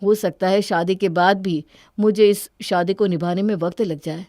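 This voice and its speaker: A woman's voice: mid-range voice, steady tone, moderate pace, darkish tone, no echo, studio quality, close to an everyday voice. A woman voicing a hurt, guarded young husband in a cartoon story, with an earnest, plaintive manner. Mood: guarded, sombre.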